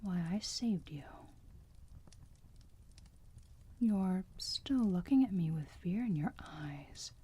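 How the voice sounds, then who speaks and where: A woman playing a motherly, teasing vampire who soothes a wounded enemy in a slow, breathy whisper, in an ASMR roleplay. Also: on the low side, animated, mellow, heavily acted. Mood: tender, musing. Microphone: close microphone.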